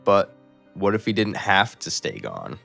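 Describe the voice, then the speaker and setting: A man's voice: deep voice, huge pitch swings, measured pace, gravelly, fairly bright tone, heavily acted. A man playing a blunt, slangy, earnest friend in a cartoon. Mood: earnest, insistent. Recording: music under the voice.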